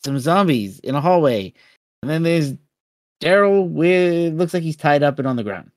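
A man co-hosting a podcast in casual chat, halting and repeating words as he starts his sentences. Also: a little high, animated, no echo, studio quality. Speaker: podcast co-host.

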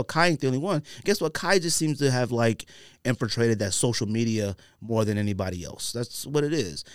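A man's voice: medium pitch, clear, resonant tone, no echo, studio quality. An American man hosting a podcast, talking casually in slang and acting out imagined quotes as he tells it. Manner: animated, slangy storytelling with acted-out imagined quotes. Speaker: podcast host.

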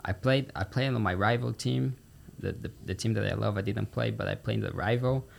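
A man's voice: lowish voice, a little fast, gravelly, a little hiss or hum. A young man with a Brazilian accent, chatting casually as a student guest on a podcast. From São Paulo, Brazil. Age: teens or young adult. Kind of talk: casual interview chat.

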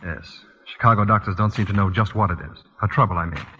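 An actor playing an evasive, sardonic executive husband with a husky voice and dry, clipped 1940s radio delivery. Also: male voice, on the low side, fast talker, bright, forceful tone, slight background noise. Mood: dry, guarded. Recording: muffled old radio broadcast, reverberant.

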